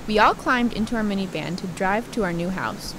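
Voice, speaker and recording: A reflective adult narrator, played by a woman, tells her story in a measured way. Her voice is medium in pitch, in the style of scripted radio drama narration. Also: noisy background, lightly acted. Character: reflective grown woman recalling haunted childhood.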